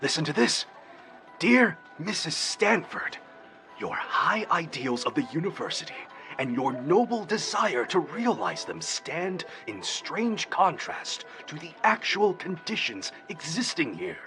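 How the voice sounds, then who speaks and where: An eager professor in a radio drama. His voice is bright and swings widely as he shares discoveries with theatrical excitement. Faint music plays beneath him. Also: fairly high pitch, a little slow, exaggerated voice. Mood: declaiming. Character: eager scholarly male professor digging through old archives. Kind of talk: audio drama acting.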